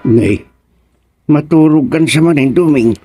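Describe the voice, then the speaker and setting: An actor with an Ilocano accent playing an excitable older father-in-law in a radio comedy drama. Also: a little high, unhurried, soft background music. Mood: sleepy banter. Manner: animated, exclaiming.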